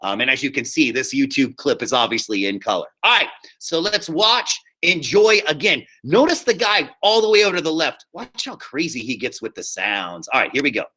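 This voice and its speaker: A man's voice: mid-range voice, very animated, moderate pace, bright, forceful tone, husky. A man teaching casually, giving informal instructions to a class.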